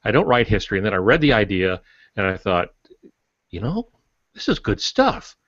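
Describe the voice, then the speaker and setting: A man talking casually as an author on a podcast, recounting his experiences in a measured, anecdotal way. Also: lowish voice, very animated, fairly bright tone, dry recording. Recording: dull, dry close recording.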